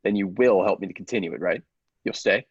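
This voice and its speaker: Man playing a low, husky, zealous lab assistant. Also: quick pace, no echo, lo-fi sound. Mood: grave, persuasive. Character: grave, persuasive male scientist's assistant, secretive and zealous. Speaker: podcast actor. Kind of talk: radio play acting.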